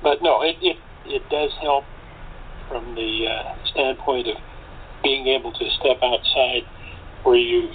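Conversational American male guest, deliberate and halting, on a noisy phone connection. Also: mid-range voice, steady tone. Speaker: radio play cast member being interviewed. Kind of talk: casual conversation. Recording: tinny phone line with faint noise.

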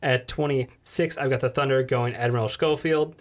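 A man's voice: mid-range voice, fairly even intonation, moderate pace. A man co-hosting a casual sports podcast, talking in a loose, slangy, rambling way. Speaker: podcast co-host.

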